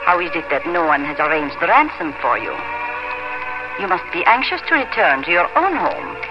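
A poised young society fiancée with a swooping, animated melody to her speech. She is airy, polished and politely cutting. It is 1950s radio drama, dull in sound, with music underneath. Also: fairly low pitch, moderate pace. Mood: cool condescension.

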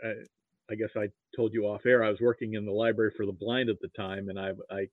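An American man chatting casually as an interview guest, telling anecdotes in a halting way with repeated starts. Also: on the low side, flat delivery, dry recording. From Nebraska, US. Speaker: podcast guest, sports archivist. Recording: muffled, phone-like narrow-band line.

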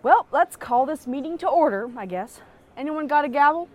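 A high, swooping voice gives us a cocky fantasy adventurer woman. She is sarcastic and mocking, teasing everyone in an audio drama style. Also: expressive, normal speed, darkish tone. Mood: cocky, wry. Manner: sardonic, mocking.

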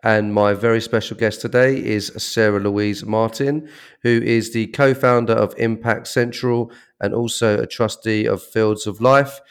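A man's voice: lowish voice, steady tone, measured pace, clean tone. A man hosting a podcast, introducing his guest with enthusiasm and many repeated words and fillers. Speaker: podcast host.

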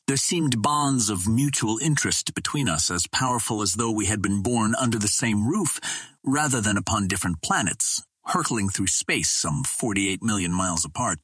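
A man narrating a first-person adventure story as a scripted audiobook, in a formal, measured literary manner. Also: on the low side, lively intonation, a bit bright, breathy edge.

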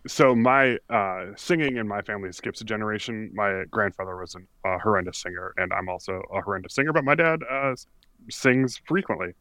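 A man guesting on a podcast, chatting casually with wry, self-deprecating humor. His voice sits somewhat low, and the recording is dry and close. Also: brisk, bright, forceful tone, no echo. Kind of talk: casual chat.

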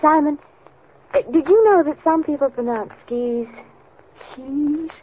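Theatrical earnest sidekick gal in old radio drama, high lively voice, coquettish, faint hiss. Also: female voice, slow pace. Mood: flirtatious. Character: eager, flirtatious female companion of a detective. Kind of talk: 1940s-50s American radio mystery acting. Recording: muffled, hissy old radio recording.

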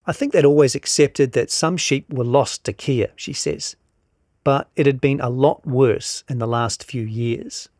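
A man's voice: mid-range voice, a little slow, a little mellow, no echo, studio quality. A man narrates a scripted long-form article, reading out both the reporting and the quotations.

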